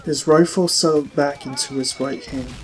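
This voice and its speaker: A man's voice: a little high, steady tone, moderate pace, a little hiss or hum, low-quality recording. A man reading a story aloud as an audiobook narrator, in dramatic scripted narration.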